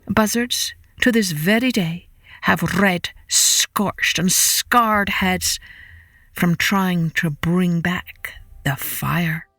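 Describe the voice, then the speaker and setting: A woman telling a scripted children's story as a podcast storyteller, dramatic and deliberate, repeating words for effect. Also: lowish voice, a bit bright, no room sound, faint music behind.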